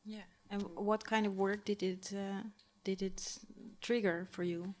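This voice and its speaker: A woman's voice: mid-range voice, flat delivery, measured pace, a little mellow. A woman moderating a public panel conversation. She asks long, carefully built questions.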